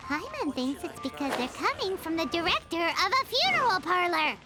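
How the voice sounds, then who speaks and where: Tiny squeaky creature sidekick, super high and bouncy, grumbles back at people, loud game music under it. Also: female voice, very animated. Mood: blunt. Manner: speaks of herself in the third person, quick to protest. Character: small floating female companion creature, blunt and easily spooked. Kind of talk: video game voice acting.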